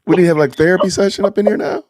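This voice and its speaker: A man hosting a casual livestream chat, excitable and loud in his reactions. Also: high voice, very fast, dry recording, clean studio sound. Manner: excitable, exclaims loudly. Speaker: livestream host.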